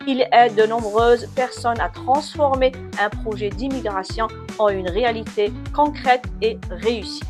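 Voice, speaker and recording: A woman with a fairly high voice hosts the show. She reads a formal, scripted introduction and puts questions to her guest. Soft music plays faintly behind her. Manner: formal, read-out welcome. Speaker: host.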